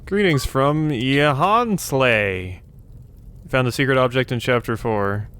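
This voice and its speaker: A man's voice: mid-range voice, slow pace, fairly bright tone, clean tone. A man giving casual let's play commentary, musing aloud in a wry way as he goes through a video game.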